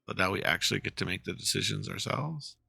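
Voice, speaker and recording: A man's voice: low voice, expressive, fast talker, vocal fry, sharp tone, breathy edge, dry recording. A man livestreaming a game, chatting casually and reading text aloud with mumbled asides. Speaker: game streamer. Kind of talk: casual livestream chat and dramatic read-aloud of game dialogue.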